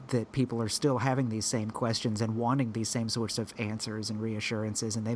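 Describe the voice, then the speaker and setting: A man's voice: mid-range voice, slight background noise. A man co-hosting a podcast, talking casually and rambling through his points with frequent restarts as he thinks aloud. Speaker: podcast co-host.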